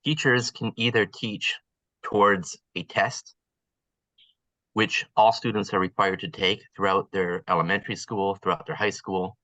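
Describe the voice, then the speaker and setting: A man joins an online class to explain a point in a measured, orderly way. His voice is low but bright. It sounds dry, with little room sound. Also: steady tone, no echo, poor audio. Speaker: class participant. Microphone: video call.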